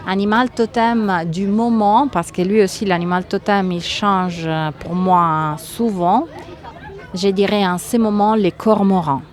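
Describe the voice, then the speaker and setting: A woman from Italy speaking with an Italian accent, answering interview questions in a hesitant, thoughtful way with many pauses and fillers. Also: medium pitch, fairly even intonation, a faint crowd. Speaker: guest.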